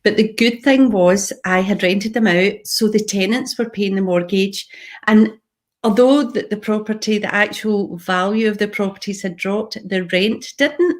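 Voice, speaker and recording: A woman's voice: mid-range voice, unhurried. Chatty middle-aged Glaswegian woman. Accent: Glaswegian Scottish. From Scotland, Glasgow. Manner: chatty, goes on tangents, addresses viewers directly. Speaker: public speaker. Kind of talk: live-streamed personal talk to viewers.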